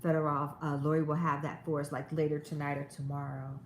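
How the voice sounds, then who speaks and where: A woman's voice: sits low, flat delivery, brisk. A woman hosting a casual live stream in a chatty, effusive way. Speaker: show host. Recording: echoey room, faint noise.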